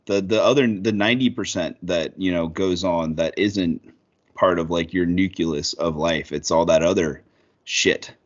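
Thirties man, low voice, loose profane podcast co-host, muffled lo-fi audio. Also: measured pace. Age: 30s. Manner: rambling, profane, lots of fillers. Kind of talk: casual podcast chat.

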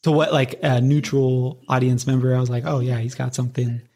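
An American man chats as a podcast interviewer in an average-pitched voice. His manner is easygoing and informal, with hesitant, loosely built sentences that feel conversational. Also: flat delivery, a little fast, mellow. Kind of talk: casual interview chat.

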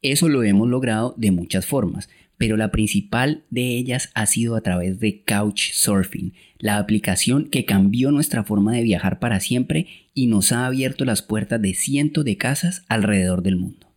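A man's voice: on the low side, moderate pace. A man with a Colombian Spanish accent co-hosting a travel podcast in a conversational style. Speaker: podcast co-host.

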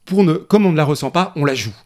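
A man's voice: on the high side, animated, fast talker. A man, a film critic in a podcast discussion, reasoning aloud in an analytical way with frequent restarts.

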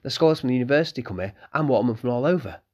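A man's voice: mid-range voice, lively intonation, racing, darkish tone, voice pushed up, lightly acted. A man voicing an eager, polite eleven-year-old innkeeper's boy who keeps calling the guests sir.

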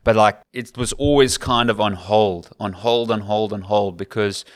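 A South African man in his thirties speaks with a Cape Town accent in a fairly low voice. He talks earnestly and conversationally, like a commentator recounting his career in an interview. The sound is dry, with little room echo. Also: moderate pace. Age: 30s. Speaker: rugby commentator, podcast guest.

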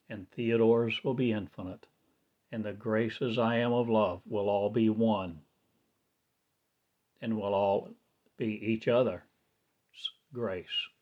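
Slow, low-voiced man chanting a monologue. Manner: chanting, trance-like, grandiose, repetitive phrasing. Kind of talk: slow incantatory spoken-word monologue. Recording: dull lo-fi recording.

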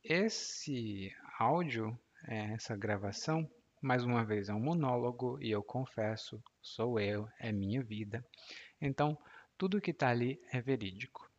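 A man, in his thirties, explains clearly and slowly like a patient teacher and laughs easily. His voice sits at a medium pitch. The recording sounds somewhat dull and muffled. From Ceará, Brazil. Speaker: Portuguese teacher. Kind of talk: podcast language lesson.

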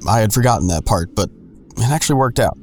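A man's voice: lowish voice, expressive, quick pace, a little hiss or hum, close to an everyday voice. An actor playing a sardonic, nosy man who narrates his own misadventures in an audio drama with wry, self-deprecating, crude humor. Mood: wry, confiding. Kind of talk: first-person scripted narration.

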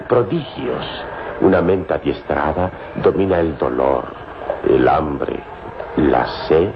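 A man playing a calm, wise hero, speaking in a measured, commanding way in an old radio serial. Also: extremely low pitch, exaggerated voice. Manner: solemn, measured, commanding, philosophical. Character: calm, wise, unshakable adult male hero. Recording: dull, noisy old radio recording.

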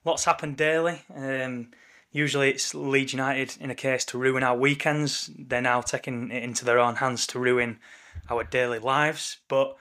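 A man with a croaky voice co-hosting a casual football podcast over a remote call, chatty and wry. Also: mid-range voice, little pitch movement, normal speed, pushed and bright. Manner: chatty, wry, leads the talk. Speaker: podcast co-host. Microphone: remote call recording.

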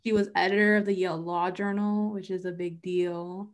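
A woman's voice: fairly low pitch, flat delivery, normal speed. A woman co-hosting a podcast in casual chat, chatty and full of "like" and "um," sharing facts. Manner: chatty, fills with "like" and "um", reads out facts. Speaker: podcast co-host.

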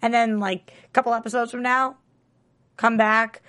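A woman's voice: on the high side, fairly even intonation, normal speed, bright, forceful tone. A woman co-hosting a casual TV recap chat, chatty and full of questions, laughing often. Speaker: co-host.

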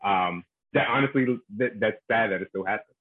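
A man's voice: fairly low pitch, no room sound. Man on a muffled line. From South Carolina, US. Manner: reflective, measured with fillers. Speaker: panelist. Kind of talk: casual discussion.

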